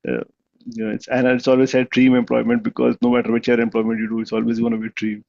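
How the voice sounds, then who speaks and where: A man's voice: medium pitch, little pitch movement, fast, darkish tone, lo-fi sound. A man giving conversational spoken feedback at a club meeting over a video call, with many restarts and fillers. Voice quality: gravelly. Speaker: meeting evaluator.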